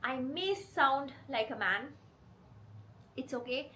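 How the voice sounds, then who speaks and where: Hoarse woman with a bright, rough voice. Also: high voice, lively intonation, slow, slight background noise. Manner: earnest, speaking directly to viewers. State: hoarse from a cold. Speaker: channel host. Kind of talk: confessional vlog talk to camera.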